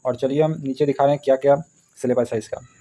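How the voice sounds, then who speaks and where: A man teaches in an informal way, as for an exam class. His voice is soft-edged and mellow at a medium pitch. He talks quickly, repeating points and checking in often as he explains. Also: steady tone. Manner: repetitive, explanatory, punctuated with check-in tags. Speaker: maths teacher. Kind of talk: informal exam syllabus lesson.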